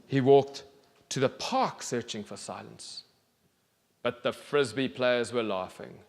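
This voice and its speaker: A man in his thirties reads a storybook very slowly, with deliberate pauses and expressive phrasing. His mid-pitched voice carries a long reverb from a large theatre. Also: gravelly. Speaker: children's author reading aloud. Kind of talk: storybook reading to an audience. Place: large theatre stage, indoors.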